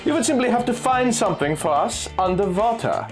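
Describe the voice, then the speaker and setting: A man's voice: very high voice, expressive, a little fast, over music, pitched above the performer's own voice. A man voicing a cool, scheming middle-aged Austrian geologist in a pulp adventure story, speaking with casual persuasion. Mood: serious, coaxing.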